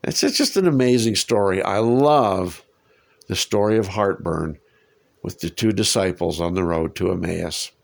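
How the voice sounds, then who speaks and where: A man's voice: sits low, a little slow, vocal fry. A man with a head cold and lingering cough, teaching a Bible passage in a conversational way and asking his listeners rhetorical questions. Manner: warm, musing, asks listeners rhetorical questions.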